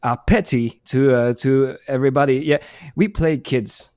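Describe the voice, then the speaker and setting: A middle-aged man with a French accent, a musician guest chatting casually and often pausing to search for English words. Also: medium pitch, a little mellow, clear, resonant tone, dry recording. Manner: halting, many restarts and fillers, searching for English words. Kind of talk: casual interview chat.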